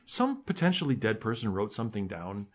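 A man whose first language is Ukrainian, chatting casually in an animated, rambling way with many fillers. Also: on the low side, brisk. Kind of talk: casual group discussion.

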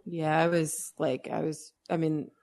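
A woman in casual group discussion, talking in a relaxed, measured way. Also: low-pitched.

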